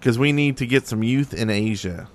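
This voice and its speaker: A man's voice: mid-range voice, normal speed. A man co-hosting a podcast in casual group banter, riffing on absurd jokes. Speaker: podcast co-host.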